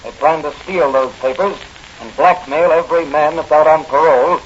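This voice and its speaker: An actor playing a take-charge masked crime fighter giving authoritative instructions in 1940s radio acting. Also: male voice, on the high side, normal speed, lightly acted. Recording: muffled, faintly hissy old radio recording.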